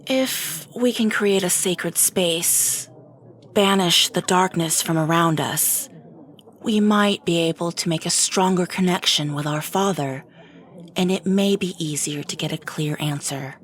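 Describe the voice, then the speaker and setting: An actress playing a level-headed, devout celestial warrior in a fantasy audio drama, measured and earnest in her reasoning. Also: lowish voice, close to an everyday voice.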